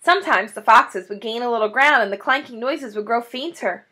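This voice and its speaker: A woman in her thirties reading a children's book aloud in an animated, dramatic way. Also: on the high side, fairly quick. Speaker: children's story reader. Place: small indoor room, classroom-like decor.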